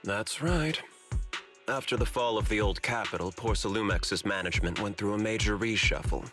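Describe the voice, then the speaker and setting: An actor playing a candid, politely ambitious corporate security chief in a video game, speaking in an articulate, confiding way. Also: male voice, on the low side, moderate pace, lightly acted. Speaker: corporate manager character. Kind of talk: video game story dialogue. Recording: music behind the voice.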